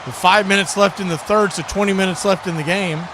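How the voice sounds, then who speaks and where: An American man giving live football play-by-play in short clipped phrases that build with excitement. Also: high-pitched, a little fast, noisy background. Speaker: play-by-play announcer.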